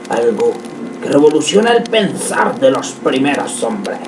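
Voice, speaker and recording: A man reading dramatic scripted radio narration in a solemn, deliberate manner. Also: medium pitch. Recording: echoey, distant, noisy amateur recording.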